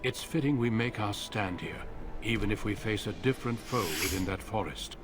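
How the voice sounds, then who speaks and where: Give me a man playing a grave, seasoned male war commander from a fantasy game. He speaks in a low, measured, solemn voice over steady game music. Every word sounds weighed and serious. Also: lightly acted.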